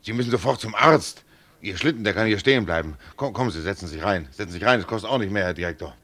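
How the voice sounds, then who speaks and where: A man's voice: lively intonation, racing, natural voice. A man acting in a German radio play as a good-natured rogue tram driver, folksy, chatty and repeating himself. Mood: concerned, chatty. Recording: clear vehicle rumble and ambience.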